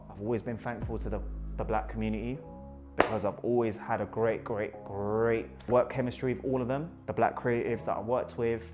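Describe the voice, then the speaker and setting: Enthusiastic London photographer, repeats words. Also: male voice, lowish voice. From Iraq. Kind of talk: casual talk about his photos.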